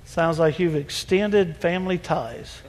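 High-voiced pastor guy hosting a church panel, easygoing, big echoey sanctuary. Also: a little slow. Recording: hall reverb.

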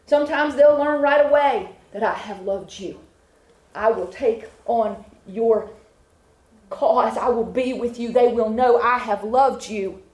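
A woman's voice: fairly high pitch, unhurried, a little mellow. A woman preaching a sermon to a congregation, building her points with rhythmic repetition. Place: church with a congregation. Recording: echoey room.

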